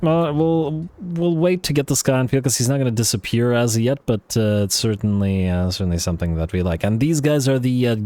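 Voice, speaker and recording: A man thinking aloud over a strategy game, a little hoarse from losing his voice, with frequent fillers and restarts. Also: medium pitch, brisk, few pauses, mellow. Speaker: let's play host. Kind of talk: casual gameplay commentary.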